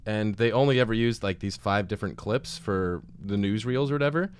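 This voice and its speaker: A man's voice: lowish voice, brisk, clear, resonant tone, no room sound. A man hosting a podcast, chatting in a laid-back, slangy, chummy way. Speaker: podcast host. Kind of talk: casual chat.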